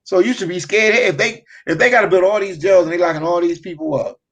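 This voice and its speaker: A man's voice: high voice, fast talker. A man hosting a casual live travel chat, reading viewer comments aloud and laughing at his own points.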